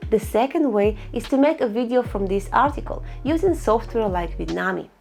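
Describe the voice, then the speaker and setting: A woman's voice: fairly high pitch, lively intonation, normal speed, vocal fry, darkish tone, soft background music. Woman explaining online tools. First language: Russian. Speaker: online income tutorial host. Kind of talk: screen-recorded tutorial voice-over.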